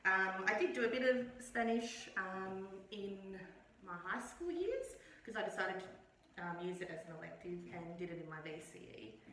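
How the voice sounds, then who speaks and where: A middle-aged woman, chatting as an interview guest in a relaxed, hesitant way with frequent ums. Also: medium pitch, normal speed, few pauses, a little hiss or hum. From Australia. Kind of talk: casual conversational interview. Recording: echoey room, mic at a distance.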